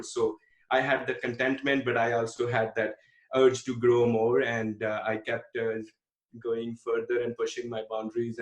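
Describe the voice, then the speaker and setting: A man's voice: lowish voice, roomy sound, poor audio. A man in his thirties from India with an Indian accent, telling his life story earnestly as a podcast guest, with many fillers and restarts. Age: 30s. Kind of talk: casual interview storytelling.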